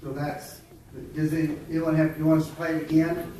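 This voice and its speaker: A man chairing a committee meeting, explaining a ruling with halting restarts. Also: on the high side, completely flat delivery, mellow. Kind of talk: presiding over a committee meeting. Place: large echoey meeting hall. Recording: echoey hall from far off, noisy.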